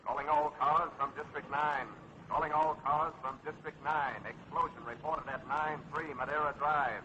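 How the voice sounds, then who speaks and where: An actor playing an official police dispatcher reading calls over a radio in an old crime film. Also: male voice, on the high side, little pitch movement, normal speed, muffled recording, noisy background. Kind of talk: 1930s radio bulletin.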